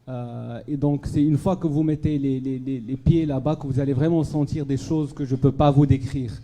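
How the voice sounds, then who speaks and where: A man's voice: medium pitch, fairly even intonation, moderate pace, warm tone. A man raised in Morocco giving a charity speech to a dinner audience, hesitant, full of fillers and restarts. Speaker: charity speaker at a fundraising gala. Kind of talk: public speech to a live audience. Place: banquet hall at a gala dinner.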